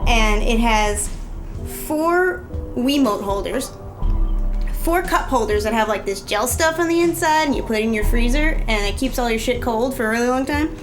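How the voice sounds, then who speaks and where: A woman's voice: on the high side, lively intonation, moderate pace. A woman giving a casual gadget review in a chatty, slangy, informal way. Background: prominent music.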